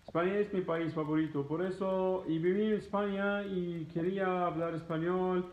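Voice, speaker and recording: A man's voice: sits high, moderate pace. A man in his thirties from Egypt who speaks Spanish as a second language, talking casually as a travel vlogger and answering viewer questions from his living room. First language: Arabic. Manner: animated, gesturing, Spanish learned as a second language. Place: living room at home, indoors. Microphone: phone camera at arm's length. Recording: tinny phone-like sound in an echoey room.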